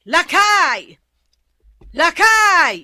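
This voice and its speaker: A woman's voice: very high voice, big pitch swings, very slow, pushed and bright, no room sound, clean studio sound, full character voice. A woman with an Ilocano accent playing a gossipy, nagging middle-aged wife in a comedy radio drama. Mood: scolding. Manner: exclamatory, scolding and chatty.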